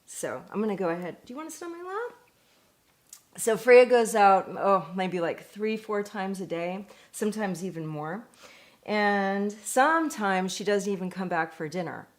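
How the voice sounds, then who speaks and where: A woman reading a picture book aloud in an expressive, deliberate way, with chatty asides. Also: medium pitch. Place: indoors at home.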